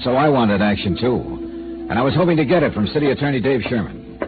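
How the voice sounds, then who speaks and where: A man's voice: mid-range voice, animated, brisk, music in the background, close to an everyday voice. An actor playing a dry, skeptical male insurance investigator who also narrates, in a 1950s radio drama. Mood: narrating. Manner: dry, skeptical, probing questions. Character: wry insurance investigator, male, middle-aged. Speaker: narrator and lead. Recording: tinny lo-fi old radio broadcast with hiss.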